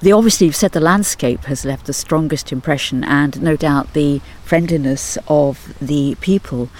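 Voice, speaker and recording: A man's voice: a little high, moderate pace, a little mellow. A man interviewing guests in a chatty, encouraging way. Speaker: radio interviewer. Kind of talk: casual interview.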